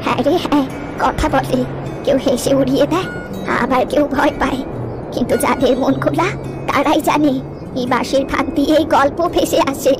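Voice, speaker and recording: Cartoon grandmother, female performer: elderly villager, high voice, wistful and gentle storyteller, music underneath. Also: steady tone, moderate pace, natural voice. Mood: mysterious, gentle. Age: older.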